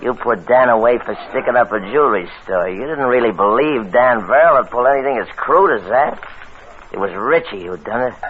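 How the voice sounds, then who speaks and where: A man's voice: medium pitch, lively intonation, a little fast, lightly acted. An American man acting a drunk, loose-tongued underworld barfly in an old radio crime drama, slurring and mocking. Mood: drunk, taunting. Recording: tinny old radio recording, faint noise.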